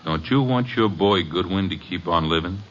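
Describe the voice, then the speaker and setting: An actor playing a gruff, slow-witted gangster henchman in an old radio detective drama, talking in curt tough-guy slang. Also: low voice, close to an everyday voice. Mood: gruff, menacing. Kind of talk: 1940s-50s radio detective acting. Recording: muffled, very noisy old radio recording.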